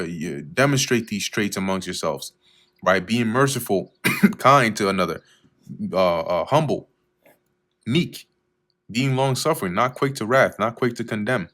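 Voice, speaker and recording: A man teaching verse by verse through scripture, reading each verse slowly and then explaining it in a casual way with fillers, often clearing his throat. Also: lowish voice, fairly bright tone. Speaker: Bible teacher.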